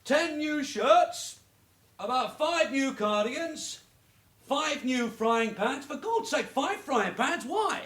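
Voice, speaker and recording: An actor playing a shrewd, middle-aged police sergeant in a radio drama, dry, sarcastic and bossy. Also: male voice, extremely high pitch, expressive, slow, a bit bright, full character voice. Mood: astonished. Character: shrewd, sardonic veteran London detective sergeant. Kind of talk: naturalistic radio drama acting.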